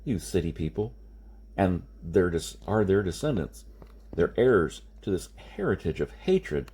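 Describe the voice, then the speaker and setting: An insistent man lectures and explains with great emphasis , as read by a male audiobook narrator. His husky voice is lowish and swings widely in pitch as he reasons. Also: sing-song, unhurried, a little mellow, full character voice. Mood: grim, emphatic. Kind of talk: audiobook character dialogue.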